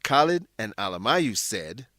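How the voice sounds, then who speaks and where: A man's voice: lowish voice, big pitch swings, a little slow, pushed and bright, dry recording, clean studio sound. A man narrating a scripted educational radio program as host and English teacher, addressing listeners in an explanatory way.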